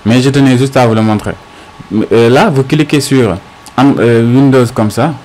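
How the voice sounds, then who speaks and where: A man teaching an unscripted tech tutorial step by step, haltingly, often asking questions and answering them himself. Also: medium pitch, darkish tone.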